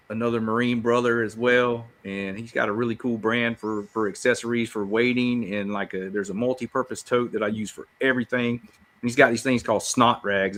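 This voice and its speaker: A man's voice: mid-range voice, bright, forceful tone. A man chatting casually as a podcast panelist, in a folksy, informal, rambling way. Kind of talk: casual chat.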